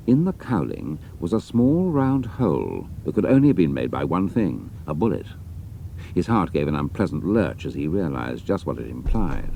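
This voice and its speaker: A man's voice: sits low, gravelly, dark tone, a little hiss or hum. A man giving a dramatic scripted narration of an adventure story.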